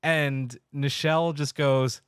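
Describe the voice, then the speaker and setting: A man co-hosting a podcast in casual, heartfelt chat, telling stories emphatically and haltingly. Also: fairly high pitch, fairly even intonation, clear, resonant tone, dry recording, studio quality. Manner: emphatic, halting, enthusiastic storytelling with acted-out quotes. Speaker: podcast co-host.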